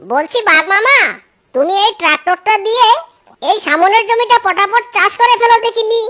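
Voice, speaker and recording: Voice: very high voice, moderate pace, pushed and bright, a little hiss or hum. An actor voicing a sly, greedy, lazy male cartoon fox, with an exclamatory, cajoling manner. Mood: bossy, cajoling. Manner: exclamatory, cajoling, catchphrase-laden. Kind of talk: exaggerated children's cartoon acting. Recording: music behind the voice.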